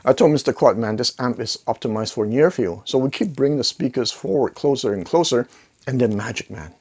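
A man's voice: mid-range voice, brisk, darkish tone, slightly rough. A middle-aged man hosting a casual product review to camera, talking in a conversational, emphatic way into a lapel mic. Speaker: host of an audio gear review channel. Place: living room listening space with acoustic wall panels. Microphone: clip-on lapel mic.

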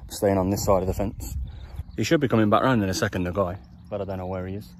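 A man's voice: deep voice, fairly even intonation, fast. Chatty man vlogging, noisy recording. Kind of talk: casual vlog commentary to viewers. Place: outdoors in open countryside and inside a car. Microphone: phone camera. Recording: noisy outdoor and in-car phone recording.

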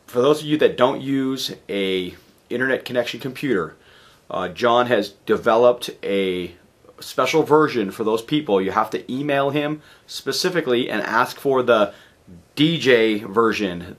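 A man in his forties, hoarse from a cold, giving a rambling, casual video update from his garage workshop. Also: mid-range voice, a bit bright. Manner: rambling, chatty, lots of fillers and restarts. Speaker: DJ vlogger. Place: home garage workshop, indoors.

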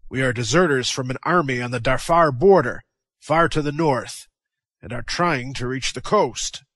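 A man voicing a gruff barbarian adventurer who speaks bluntly and forcefully. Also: medium pitch, moderate pace, natural voice.

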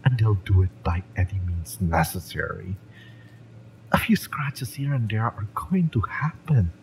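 A man playing a fiery, lovesick young mercenary bodyguard in a romance audio roleplay, speaking with halting, wounded emotion. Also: sits low, expressive, gravelly, slight background noise, heavily acted, poor audio. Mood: exasperated, protective.

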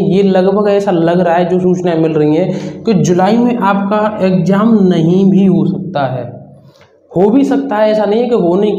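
A man's voice: high-pitched, mellow. A man in his twenties from northern India giving an informal, earnest explainer to students as an exam coach, often repeating his points. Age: 20s. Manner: repetitive, earnest advising. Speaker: exam-preparation coach and video host. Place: small indoor room with whiteboard. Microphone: clip-on lapel mic. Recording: echoey room, dull muffled sound.

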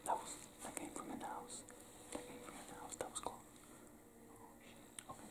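A young man from Cleveland doing an intimate ASMR roleplay, talking softly and often whispering, recorded close in a small indoor room. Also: a little fast. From Cleveland, Ohio.

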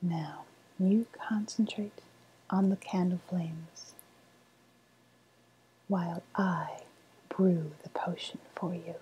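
A woman playing a sly woodland witch in a softly spoken ASMR roleplay, half-whispering in a deliberate, sweetly ominous manner. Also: lowish voice, steady tone, slightly rough, muffled recording, exaggerated voice. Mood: hushed, ritual. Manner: slow, deliberate, hushed, ominous.

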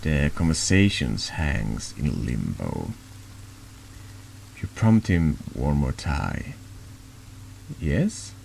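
Very deep, gravelly male narrator. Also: big pitch swings, a little mellow, a little hiss or hum. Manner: halting reading with stumbles and repeats. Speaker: streamer reading a text adventure game aloud. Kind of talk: soft ASMR-style narration.

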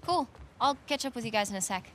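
High-voiced, evasive teenage girl. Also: animated, quick pace, a bit bright, slight background noise. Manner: hesitant, casual. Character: Cassie, inquisitive, evasive teenage daughter. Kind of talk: naturalistic video game cutscene acting.

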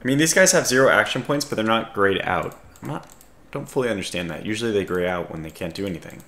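A man with a scratchy voice giving casual strategy game commentary, calmly thinking aloud as he analyses his options. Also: lowish voice, fast talker, reverberant. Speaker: gaming video host.

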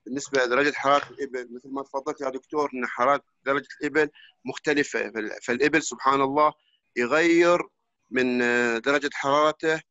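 Guy calling in with a question, bright voice, dry lo-fi call sound. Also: medium pitch, fairly even intonation, quick pace, dry recording. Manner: hesitant, searching for words. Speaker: caller asking a question. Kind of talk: question in an online lecture. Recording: dry, lo-fi online call audio.

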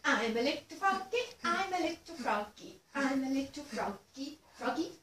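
High-pitched woman chanting playfully. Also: expressive, moderate pace, slight background noise. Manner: gentle, encouraging, chanting. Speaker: movement teacher leading a child. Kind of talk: playful sing-song kids' movement session. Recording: echoey room, distant mic.

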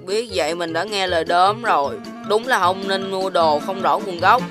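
A woman with a southern Vietnamese accent voicing a naive, excitable, chubby young animal in a children's cartoon, with childlike delivery. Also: medium pitch, moderate pace, natural voice. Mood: dismayed. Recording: prominent music behind the voice.

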